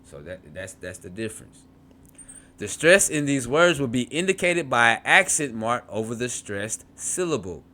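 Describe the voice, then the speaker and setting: A man's voice: medium pitch, very animated, moderate pace, pushed and bright. Man teaching a beginners' language lesson. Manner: repeats words to model pronunciation, frequent restarts and asides. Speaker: Hebrew teacher. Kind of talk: reading a textbook aloud and explaining.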